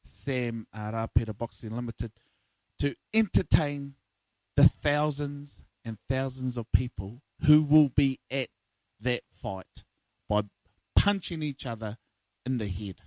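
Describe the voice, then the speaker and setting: Casting: young New guy, pumped-up laughing banter, mid-pitched voice. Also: takes it slowly, a little mellow, no echo, poor audio. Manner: laughing, hyped-up banter with slang like "bro". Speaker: guest. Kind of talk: casual podcast interview.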